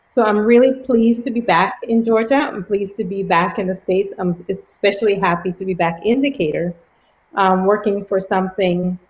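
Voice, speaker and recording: A woman's voice: on the low side, a little mellow, low-quality recording. A woman in her forties from Cameroon, the new head of a school, introducing herself in a friendly way with frequent ums over a video call. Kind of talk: introductory welcome speech.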